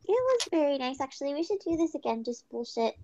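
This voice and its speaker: A woman hosting a casual group livestream chat, energetic and talkative, laughing often. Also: sits high, fast, dull audio, crowd noise. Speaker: stream host.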